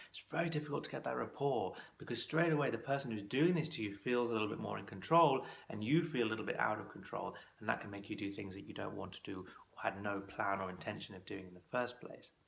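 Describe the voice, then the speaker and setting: A man with a fairly low voice and a gravelly edge talks straight to camera as an exam course tutor. He coaches in a practical, conversational way. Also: fast talker, few pauses, a bit bright. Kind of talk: instructional talk to camera.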